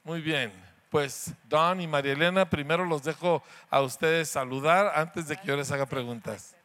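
Mexican church pastor, male, lively, sharp-toned, high-pitched; playful host who talks to the crowd. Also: moderate pace. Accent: Mexican Spanish. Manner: warm, joking, asks the audience questions. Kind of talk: church introduction to the congregation.

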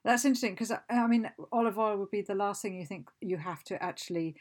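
A woman's voice: medium pitch, quick pace, darkish tone. A woman hosting an interview, encouraging and laughing often, who says she is losing her voice. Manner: encouraging, laughs often, short agreeing replies. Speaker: interviewer, host. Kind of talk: casual interview.

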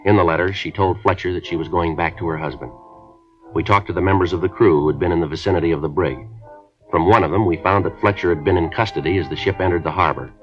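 An actor playing a police detective who narrates a 1950s radio drama in a clipped, matter-of-fact delivery. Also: male voice, low voice, fast talker, faint music behind, lightly acted. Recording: muffled old radio broadcast.

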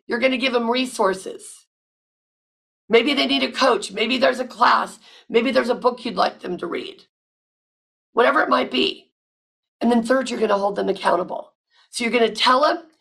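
A woman hosting a podcast, talking with loud, emphatic energy and repeating words when she stresses a point. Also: fairly high pitch, gravelly, slightly rough, low-quality recording. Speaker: podcast host. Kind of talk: casual podcast monologue.

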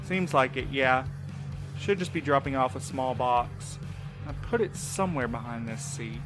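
A man's voice: medium pitch, normal speed, music in the background, close to an everyday voice. An actor playing a sardonic, laid-back male courier driver in an audio drama, talking casually with a weary, teasing edge. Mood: breezy. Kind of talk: full-cast audio drama dialogue.

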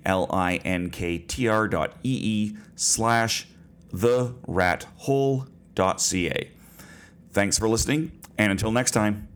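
A man with a lowish voice reads a scripted podcast outro. His delivery is even and steady, as a sign-off.

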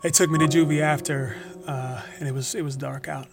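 A male actor playing a wrongly imprisoned young man telling his story in a halting, reflective monologue for a documentary audio drama. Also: a little high, steady tone, creaky. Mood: weary, confused.

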